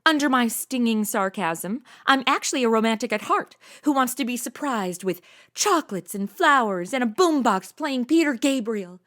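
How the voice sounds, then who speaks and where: Woman as a sassy, animated, sarcastic young woman. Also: on the high side, normal speed. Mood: wry. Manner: sarcastic, slangy. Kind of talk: comedy audio drama acting.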